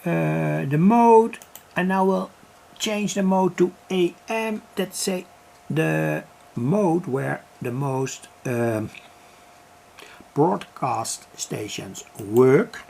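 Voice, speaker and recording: Slow-spoken man with a gravelly creak. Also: a little high, a little mellow, a little hiss or hum. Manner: halting, thinking aloud while operating. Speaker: amateur radio hobbyist demonstrating a receiver. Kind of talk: unscripted vlog demonstration.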